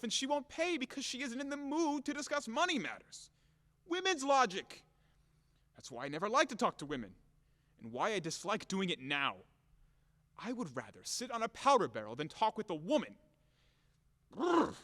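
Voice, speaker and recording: An actor playing a furious, self-pitying landowner who rants and grumbles theatrically in an audio play comedy. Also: male voice, sits high, sing-song, normal speed, pauses often, sharp tone, heavily acted. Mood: exasperated ranting. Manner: theatrical, exasperated ranting and grumbling. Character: blustering, furious, self-pitying male landowner demanding his money. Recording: echoey hall-like reverb.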